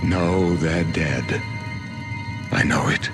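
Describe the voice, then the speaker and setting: An aged, weary emperor from a fantasy game. The voice sits very low and moves slowly, with solemn, prophetic gravity. Orchestral game music plays clearly behind it. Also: male voice, exaggerated voice. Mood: grieving. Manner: grave, measured, prophetic. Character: weary, solemn old male emperor facing his death. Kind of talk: fantasy video game acting.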